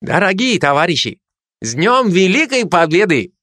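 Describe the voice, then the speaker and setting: A man's voice: high-pitched, big pitch swings, normal speed, pushed and bright, no room sound, studio quality, pitched above the performer's own voice, exaggerated voice. A man voicing a playful Kazakh soldier who jokes with his comrades. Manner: playful, sly. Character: joking Kazakh soldier nicknamed Chinaman.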